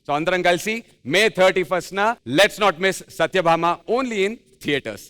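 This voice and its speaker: A man hosting a TV dance show with lively stage presenting and banter, music under his voice. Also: high-pitched, measured pace.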